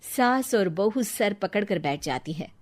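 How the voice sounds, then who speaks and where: A woman's voice: mid-range voice, lively intonation, measured pace. A woman giving scripted narration for a Hindi cartoon story.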